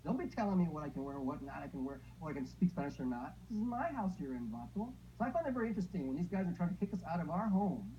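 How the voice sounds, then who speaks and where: A woman from Texas with a very low voice and a swooping, lively pitch speaks bluntly and makes her points with sarcastic jabs. The sound is dull and slightly muffled, with faint noise behind her. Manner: blunt and emphatic, with sarcastic asides. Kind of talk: opinionated interview talk.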